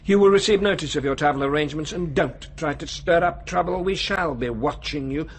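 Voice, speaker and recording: An actor playing an urbane, cynical man of high authority in a radio drama, lecturing in a measured, condescending way. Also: slight background noise, lightly acted. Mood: quietly menacing. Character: male world ruler, urbane, coolly cynical, menacing authority.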